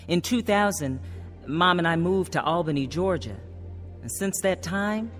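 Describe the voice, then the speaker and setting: A devout woman who has found faith and speaks from the heart. Her pitch moves expressively through earnest, grateful radio drama narration and dialogue, with clear music behind her. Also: expressive, a little slow. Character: devout, grateful born-again woman telling her life story.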